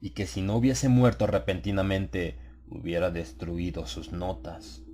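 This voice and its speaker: A man reading a horror story as an audiobook narrator, in a measured, solemn, dramatized literary delivery. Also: low voice, flat delivery.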